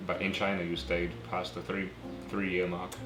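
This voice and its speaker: A man's voice: sits low, level tone, normal speed, echoey room, music in the background. A man hosting an interview channel, asking questions in an easygoing, casual way and often murmuring agreement, in an apartment. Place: apartment room.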